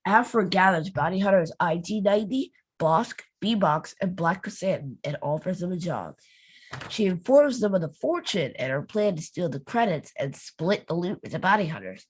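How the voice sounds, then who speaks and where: A woman's voice: on the low side, animated, normal speed, slightly rough. A woman co-hosting a podcast, reading a story recap evenly and adding playful asides. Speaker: podcast co-host. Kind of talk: casual podcast chat and read recap.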